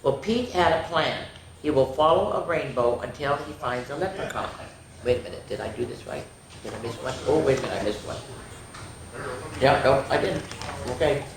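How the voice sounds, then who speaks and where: A woman reads a picture book aloud for young children in a very echoey room. She is expressive and playful, with chatty comments to her listeners. Faint noise sits behind her voice. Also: very deep voice, normal speed. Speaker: children's story time host. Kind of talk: animated read-aloud of picture books with chatty asides.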